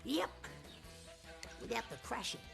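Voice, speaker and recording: Cheeky, high-voiced Australian boy. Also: measured pace, creaky, music in the background, exaggerated voice. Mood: keen. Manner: deadpan, frank, innocent. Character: cheeky, blunt, foul-mouthed young Australian boy. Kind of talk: film comedy-drama dialogue.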